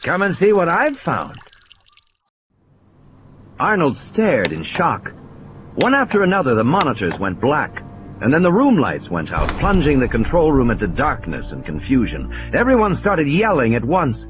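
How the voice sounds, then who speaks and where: A male audiobook narrator delivers scripted, dramatic prose. His pitch swings widely from phrase to phrase, with faint music behind him. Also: wildly swooping pitch, moderate pace.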